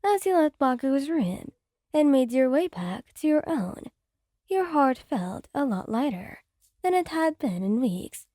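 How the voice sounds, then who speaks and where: Mellow, soft-spoken woman narrator. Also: sits high, big pitch swings, measured pace, no room sound, studio quality. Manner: soft, gentle storytelling. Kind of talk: ASMR fanfic reading.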